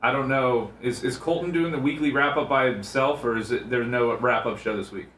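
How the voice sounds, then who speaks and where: A man's voice: medium pitch, a little fast, fairly bright tone. A male radio co-host trading casual, joking banter in a teasing, needling manner, with an echoey room sound.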